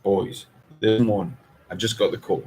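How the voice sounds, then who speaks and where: A man's voice: fairly low pitch, fairly even intonation, normal speed, darkish tone, breathy edge. A man voicing an awkward, evasive father in a story, hesitant and reluctant to chat. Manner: hesitant, clipped answers. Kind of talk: audiobook character voice.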